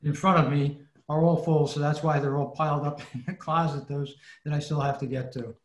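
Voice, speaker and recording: Man, higher-than-average voice, halting casual explainer, roomy echo on a video call. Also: steady tone. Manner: halting, pausing mid-sentence. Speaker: club presenter.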